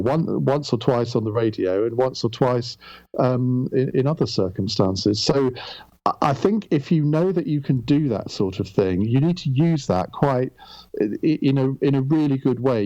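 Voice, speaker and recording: A calm middle-aged Englishman with a mellow, dark tone, speaking in measured phrases full of ums and restarts. The sound is dry and a little dull, as if close to a modest mic. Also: mid-range voice, no echo. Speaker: guest, radio broadcaster. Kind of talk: reflective interview talk.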